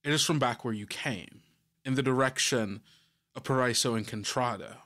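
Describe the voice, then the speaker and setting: A man narrating a tabletop game as game master, in short deliberate phrases that build suspense. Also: medium pitch, fairly bright tone.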